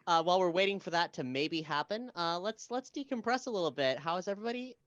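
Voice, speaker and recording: A woman hosting a casual livestream chat, speaking haltingly and often repeating her words. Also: lowish voice, expressive, dry recording. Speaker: livestream host.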